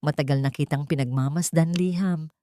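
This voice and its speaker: A woman's voice: low voice, brisk, warm tone, no echo, clean studio sound, natural voice. A woman with a Filipino accent voicing a scheming, venomous rich female villain in a dramatic audio storybook. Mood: cold scorn. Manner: menacing, taunting, calculated.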